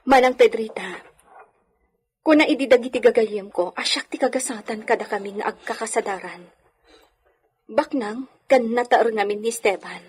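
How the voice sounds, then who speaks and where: A woman's voice: on the high side, normal speed, pauses often, darkish tone, breathy edge. A woman playing an anxious, sorrowful young lady of wealth confiding in her maid in a radio drama. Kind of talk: Ilocano radio drama acting.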